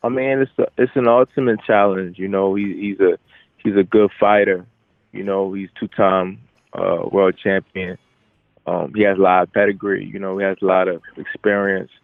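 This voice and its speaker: A young man, a boxer, giving casual, halting interview answers with repeated phrase starts over a telephone. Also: low voice, flat delivery, gravelly, no echo. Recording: narrow, tinny phone line.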